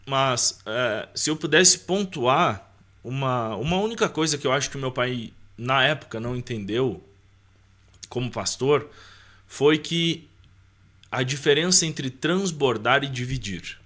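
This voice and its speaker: A man's voice: medium pitch, lively intonation, measured pace, a bit bright. Brazilian man giving conversational personal testimony. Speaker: podcast guest.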